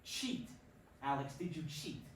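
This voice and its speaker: A man's voice: fairly high pitch, very animated, measured pace. Man acting an earnest, grieving ballplayer, distant and roomy. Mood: cold, accusing. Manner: pleading, earnest. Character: Joey, earnest ballplayer, expectant father grieving his dying dad. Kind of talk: staged play reading, emotional dialogue. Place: theatre stage at a staged reading. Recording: roomy stage recording, distant mic, faint noise.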